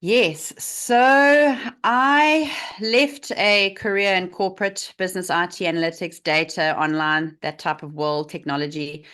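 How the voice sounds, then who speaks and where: A woman in her forties with a South African accent, speaking as an interview guest. Her voice sits low, and she tells her story frankly with plenty of "um"s and "uh"s. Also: fairly even intonation, unhurried, fairly bright tone, smooth. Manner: candid, storytelling, many fillers. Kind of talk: interview over video call.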